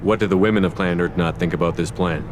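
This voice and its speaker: A man playing a calm, direct human military commander in a video game, matter-of-fact and inquisitive. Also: low-pitched, fast, background noise, close to an everyday voice. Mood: calm, curious.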